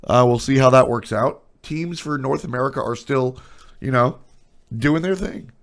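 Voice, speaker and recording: A man's voice: mid-range voice, moderate pace. A man with a stuffy, nasal voice streaming casual, blunt opinions on esports, trailing off into asides.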